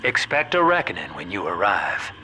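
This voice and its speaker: An actor playing a folksy, streetwise ally in a video game who gives plain-spoken guidance over the radio. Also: male voice, sits low, big pitch swings, moderate pace, noisy background. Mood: grave, astonished. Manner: colloquial, plain-spoken, urging. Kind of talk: video game character dialogue.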